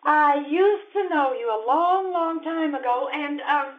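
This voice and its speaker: A woman playing a gentle, teasing wife and mother in a radio comedy performed live on stage. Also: high voice, unhurried. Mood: tender. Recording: dull lo-fi live stage recording.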